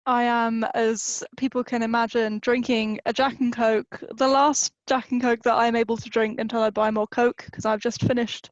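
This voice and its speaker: High-pitched woman bantering over a muffled call. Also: level tone, moderate pace, few pauses, no echo. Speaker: podcast co-host. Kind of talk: casual chat. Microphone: video call. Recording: dull, band-limited call audio.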